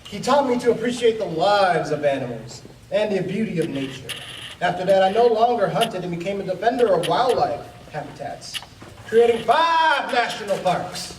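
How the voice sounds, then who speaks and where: A man's voice: sits high, sing-song, moderate pace, a little hiss or hum. A man acting a hearty reformed hunter turned nature defender, a past president, in an amateur radio play. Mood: earnest, reminiscing. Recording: echoey, distant mic, music behind.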